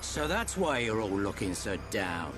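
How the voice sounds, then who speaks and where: Gruff older trader, teasing and slangy, lively voice, soft music behind. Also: male voice, on the low side, measured pace. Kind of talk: video game cutscene acting.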